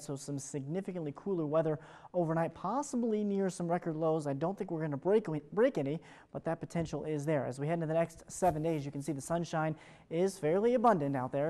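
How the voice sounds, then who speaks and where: A man's voice: fairly high pitch, mellow. A man with an American accent presenting a morning television weather forecast in a chatty, upbeat broadcast manner. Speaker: television morning show meteorologist.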